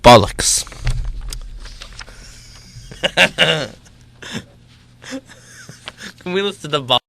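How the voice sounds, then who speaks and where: A man's voice: a little high, animated, extremely slow, creaky, sharp tone, a little hiss or hum. A man chatting casually and jokingly between takes at an amateur recording session.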